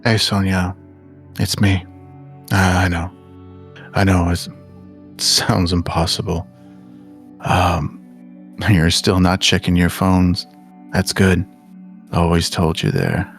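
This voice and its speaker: A weary, despairing male agent acted out by a man at a horror game table, very low in pitch and slow in delivery. He speaks haltingly and subdued, like someone worn down by his mission. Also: creaky, faint music behind, heavily acted. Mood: somber, halting. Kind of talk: in-character tabletop roleplay.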